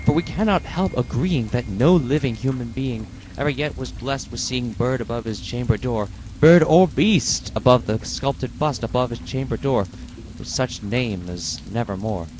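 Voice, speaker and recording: A man's voice: medium pitch, low-quality recording. Brooding male reader over rain noise. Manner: slow, brooding, theatrical delivery. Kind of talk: dramatic poetry reading. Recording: steady rain noise behind voice.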